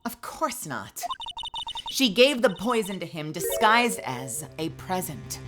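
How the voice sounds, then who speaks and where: A woman voicing a composed young female defense attorney who presses a witness with measured, cutting questions. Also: fairly low pitch, sing-song. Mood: accusing. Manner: measured, cutting cross-examination. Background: background music.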